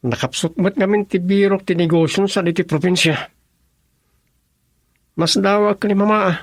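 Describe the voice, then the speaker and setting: A man's voice: high voice, moderate pace, no echo, clean studio sound. A man playing a young, sweet-talking student suitor in a radio drama. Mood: teasing. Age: young adult. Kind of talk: Ilocano radio drama acting.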